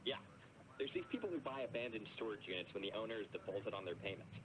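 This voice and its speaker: A man's voice: on the low side, fast, close to an everyday voice. Man playing a rambling storage-unit flipper. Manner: rambling, casual, easygoing. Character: laid-back guy who flips storage units. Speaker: caller. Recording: steady background noise.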